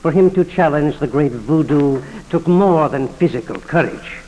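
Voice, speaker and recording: An actor playing an earnest, scholarly professor-detective who speaks in an articulate, theatrical way, in a 1940s radio drama. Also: male voice, a little high, normal speed, mellow, natural voice, rough recording. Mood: earnest, reflective. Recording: vintage 1940s radio broadcast.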